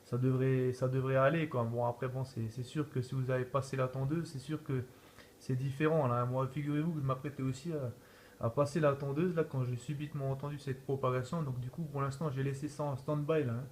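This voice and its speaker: Man, easygoing CB radio operator in casual contact chat, average pitch, audible background noise. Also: steady tone, brisk, darkish tone. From France. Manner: repetitive tag words, easygoing.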